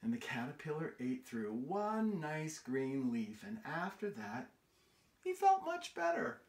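Very animated American man reading to children. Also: a little high, a little slow. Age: middle-aged. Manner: animated, expressive, sing-song emphasis with questions to listeners. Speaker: children's storytime host. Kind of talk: picture-book read-aloud for young children. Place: small room indoors on a couch.

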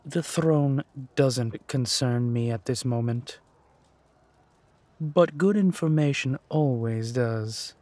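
A man playing a vengeful, ruthless villain in an audio drama, with a husky voice and a deliberate, menacing, mocking delivery. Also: mid-range voice, a little mellow, dry recording, close to an everyday voice. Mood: cool menace.

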